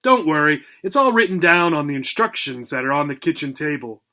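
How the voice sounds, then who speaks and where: A man's voice: a little high, lively intonation, brisk, sharp tone. A man reading a storybook part as a casual, matter-of-fact teenage boy explaining things. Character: matter-of-fact teenage boy giving pet-care instructions.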